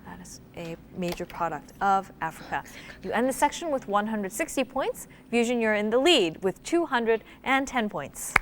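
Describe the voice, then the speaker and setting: Lively upbeat woman quiz host. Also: mid-range voice, moderate pace, heavy background noise. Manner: chatty and upbeat, laughs easily. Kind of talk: TV quiz show hosting. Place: TV studio.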